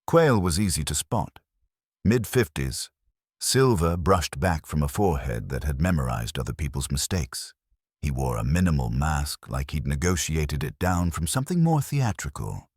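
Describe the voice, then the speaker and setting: A man's voice: extremely low pitch, sing-song, moderate pace, breathy edge, no echo, clean studio sound. A man narrating a first-person detective story in a wry, hardboiled storytelling manner. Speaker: audiobook narrator.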